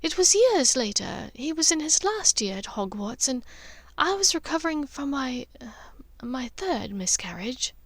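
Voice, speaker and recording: A woman voicing an aristocratic mother in an audiobook, gentle and wistful, with a measured, hesitant, softly emotional delivery. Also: high-pitched, very animated, no room sound, voice pushed up, full character voice. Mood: somber, confiding. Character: aristocratic mother, gentle, wistful, confiding old family memories. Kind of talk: dramatized audiobook character dialogue.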